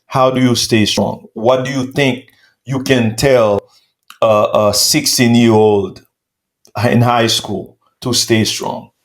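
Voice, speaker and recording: A man's voice: medium pitch, flat delivery, slow pace. A man from Haiti hosting an interview, asking long, probing questions and often circling back. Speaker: host. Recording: roomy echo.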